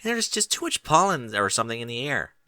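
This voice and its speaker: A man's voice: medium pitch, big pitch swings, pushed and bright, no echo. A man voicing an earnest young pony princess in a storybook, tender and reasoning. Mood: tearful. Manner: reasoning, deliberate.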